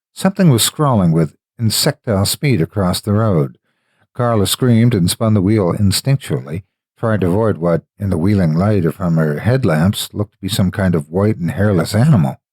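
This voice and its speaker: Male horror narrator, low register, dry studio-tight sound, emphatic and theatrical storytelling. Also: a little mellow, no echo. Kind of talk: scripted dramatic narration.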